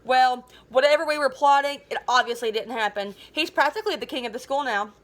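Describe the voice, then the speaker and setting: A woman's voice: sits high, a little fast, fairly bright tone. A woman voicing a proud, scheming popular middle-school boy in a storybook, snarky and pushy. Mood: snarling.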